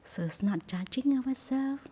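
Breathy, near-whispering woman guiding meditation. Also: high-pitched, expressive. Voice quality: soft, breathy, husky, often near whisper. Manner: gentle, soothing, unhurried instruction with long pauses. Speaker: meditation teacher. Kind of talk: guided meditation.

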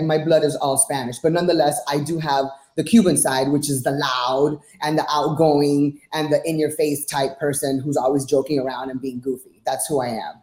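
A woman's voice: deep voice, mellow. A woman in her forties from Miami, speaking bluntly and emphatically as a fitness coach answering interview questions. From Miami, United States. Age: 40s. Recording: roomy, echoing sound.

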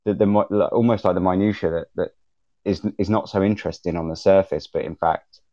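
A man podcaster casually explaining on a panel, halting and often repeating words, in a roomy, dull recording. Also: low-pitched, fairly even intonation, warm tone. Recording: roomy, slightly dull lo-fi sound.

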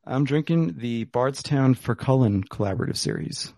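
A man's voice: medium pitch, expressive, normal speed, darkish tone, no room sound, studio quality. A man hosting a podcast, chatting casually over whiskey in a rambling way with plenty of fillers. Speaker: podcast host. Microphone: remote call.

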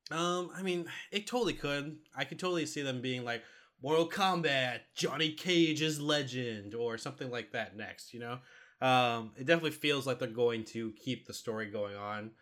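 A man with a bright, forward voice at a middling pitch, talking as a podcast co-host in a casual review. He explains things step by step and often pauses mid-thought as he goes.

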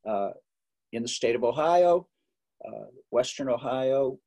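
A man's voice: medium pitch, unhurried, warm tone. Chatty middle-aged man telling stories. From Massachusetts. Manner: chatty, joking, many fillers and restarts. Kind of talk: relaxed interview storytelling.

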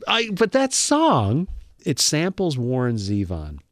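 A man hosting a casual talk show, rambling and musing with animated asides. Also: fairly high pitch, takes it slowly, no room sound, clean studio sound. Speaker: podcast host. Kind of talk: casual conversational chat.

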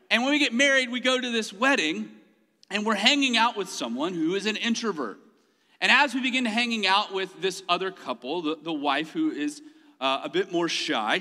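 Male pastor, higher voice, very bright and forceful tone, self-mocking humor, echoing sanctuary. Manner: humorous self-deprecating storytelling; laughs often mid-sentence. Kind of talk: sermon. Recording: long hall reverb.

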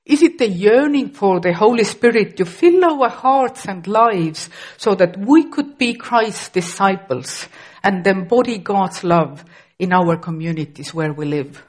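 A woman's voice: mid-range voice, lively intonation, unhurried. A middle-aged woman from Estonia, a native Estonian speaker with an Estonian accent, preaching a sermon carefully and with occasional restarts.

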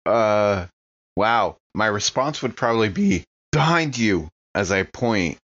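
A man's voice: on the low side, expressive, takes it slowly, a bit bright. A man who is a player in a tabletop game, talking casually and describing his character's actions, on a somewhat lo-fi microphone.

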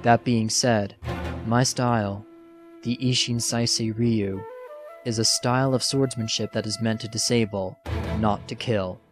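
A man playing a gruff, arrogant young master swordsman with an irritable, blunt manner in an anime-style drama. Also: on the low side, fairly even intonation, measured pace, natural voice. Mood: matter-of-fact. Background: music.

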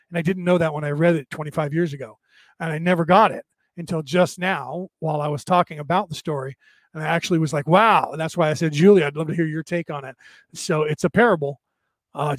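A man hosting a casual livestream, rambling through spiritual musings with many restarts, his slightly raspy voice tiring. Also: fairly high pitch, no room sound. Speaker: podcast host. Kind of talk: casual livestream monologue answering viewer comments.

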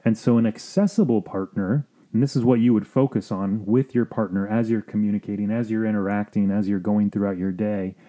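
A man's voice: lowish voice, fairly even intonation, a little fast, few pauses, dark tone. A man speaking as a counselor hosting a podcast, explaining things informally in an earnest, reflective way. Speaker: marriage counselor and podcast host.

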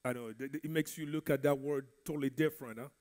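A man's voice: medium pitch, steady tone, quick pace, darkish tone. A man from the Congo whose first language is French, teaching a Bible study in a church in a conversational preaching style. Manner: conversational preaching, repeats words. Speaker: minister.

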